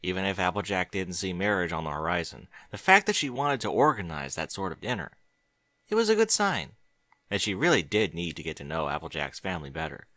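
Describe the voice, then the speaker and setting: A man narrating a fan fiction audiobook in a steady storytelling manner. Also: deep voice, fairly quick, dry recording, clean studio sound.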